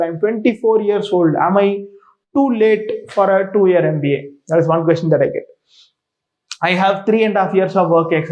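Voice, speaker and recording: A man with an Indian accent and a noticeably high-pitched voice explains things like a coach talking to students. He is emphatic and repeats his points, often checking in as he goes. The recording sounds a little dull and lo-fi. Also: a little mellow. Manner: emphatic, repetitive, frequently checks with "right?". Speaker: exam coach and mentor. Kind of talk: informal explanatory lecture to students.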